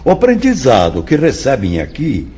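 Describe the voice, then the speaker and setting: An actor playing a wise, solemn and patient spirit teacher in a radio drama, speaking in a measured, formal, didactic way. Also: male voice, mid-range voice, wildly swooping pitch, mellow, slightly rough, muffled recording. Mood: kindly, patient.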